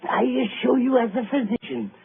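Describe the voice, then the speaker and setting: An actor playing an eerie, crazed doctor with obsessive insistence in an old-time radio drama. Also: male voice, extremely high pitch, steady tone, normal speed, gravelly. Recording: muffled, faintly noisy vintage radio recording.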